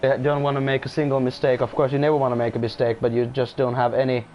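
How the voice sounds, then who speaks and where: A man's voice: medium pitch, flat delivery, fast, highs cut off, a little hiss or hum. A man who is not a native English speaker commentating casually on an online race, often restarting sentences and apologizing. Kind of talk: casual live sim-racing commentary.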